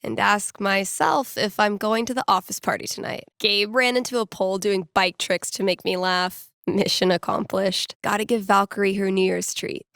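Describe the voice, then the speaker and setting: A woman's voice: medium pitch, vocal fry, fairly bright tone, dry recording. An actress playing a wry, playful young woman DJ in a video game, musing to herself in a dry, conversational way. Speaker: video game character, radio DJ and record store worker. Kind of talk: video game voice lines, casual inner monologue.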